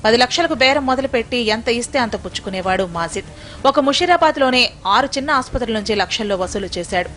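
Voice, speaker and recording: A woman delivers a scripted news report as voice-over. Her pitch sits a little high and she moves very quickly, with emphatic, dramatic phrasing. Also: lively intonation, fairly bright tone, slight background noise.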